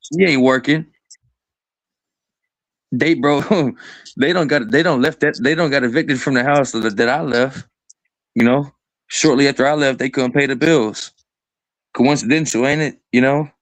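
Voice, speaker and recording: A young man in his early twenties talks loosely and crudely, circling his point with "like" and "bro" at every turn. His voice sits at a mid pitch for a man and comes across dry and close, with no room around it. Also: a little slow, pauses often, no echo. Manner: rambling, crude, says "bro" and "like" constantly. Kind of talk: casual profane chat.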